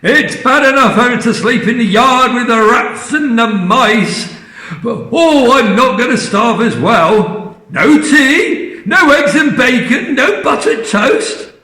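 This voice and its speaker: A man voicing an enormous, grumpy old grandmother who shouts and whines complaints. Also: very animated, takes it slowly, creaky, reverberant, exaggerated voice. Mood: indignant. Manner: shrill, shouting, whining complaints.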